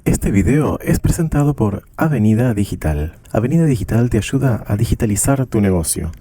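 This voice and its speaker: A man reading a sponsor advertisement in a brisk promotional delivery. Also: on the low side, lively intonation, mellow, no echo. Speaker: sponsor announcer. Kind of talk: read advertisement.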